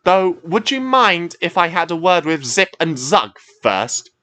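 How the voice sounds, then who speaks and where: A man's voice: a little high, big pitch swings, measured pace, bright, forceful tone, pitched above the performer's own voice, exaggerated voice. A man voicing a stern male coast guard boat who lectures like an officer of the law.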